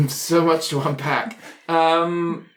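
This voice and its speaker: A man's voice: a little high, steady tone, extremely slow, poor audio. A man playing a tabletop roleplaying game in conversation, hesitantly repeating his words as he goes. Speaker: player in an actual-play podcast.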